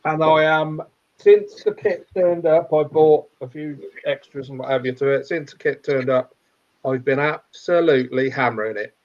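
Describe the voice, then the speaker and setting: A man's voice: on the high side, normal speed, a little mellow, lo-fi sound. A man in a video-call chat describing his model building, enthusiastic and rambling with lots of fillers. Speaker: scale model builder, club member.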